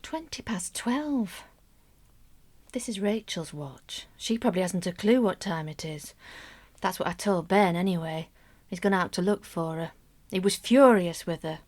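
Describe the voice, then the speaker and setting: A woman's voice: on the low side, close to an everyday voice. A woman acting a romantic, wry, middle-aged mother who confides her private yearnings in an intimate radio drama monologue. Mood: worried, wry. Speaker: actress in a radio play monologue.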